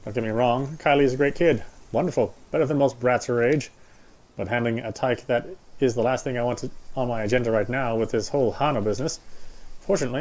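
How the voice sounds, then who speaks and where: A man reading a game character's story narration aloud, sometimes stumbling and rereading, with music quietly behind him. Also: medium pitch, poor audio.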